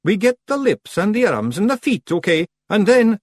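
A man's voice: high voice, sing-song, moderate pace, no echo, clean studio sound, pitched above the performer's own voice. A man voicing a bossy, boastful little Feegle clan leader in an audiobook, hectoring in Scottish dialect. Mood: dire, commanding. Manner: emphatic, hectoring, speechifying in dialect.

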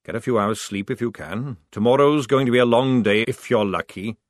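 A man reading an audiobook, voicing a gruff veteran army sergeant who barks his orders loudly. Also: medium pitch, brisk, dry recording, clean studio sound, close to an everyday voice. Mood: commanding.

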